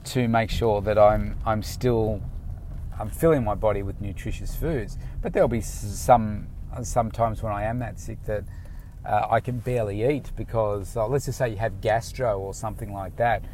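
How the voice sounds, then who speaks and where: Frank fitness coach, lowish voice. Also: male voice, dark tone, slight background noise. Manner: rambling, frank, with many restarts. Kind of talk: casual motivational talk to camera. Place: inside a car.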